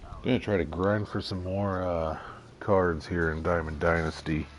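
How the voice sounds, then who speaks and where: A man casually commenting on his gameplay, slightly nasal from allergies, halting with lots of fillers. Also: level tone. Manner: halting, many fillers, unhurried and low-key. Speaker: gaming video creator. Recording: audible background noise.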